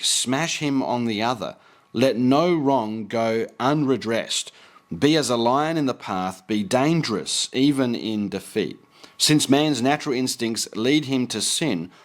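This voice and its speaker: Earnest man preaching and reading aloud. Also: mid-range voice. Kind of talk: preaching commentary with read-aloud quotations.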